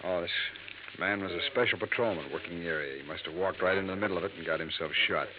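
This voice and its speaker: An actor playing a terse police lieutenant in a 1950s radio drama. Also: male voice, low-pitched, quick pace, lightly acted. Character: gruff male police lieutenant. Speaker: police officer. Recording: tinny, noisy old radio broadcast.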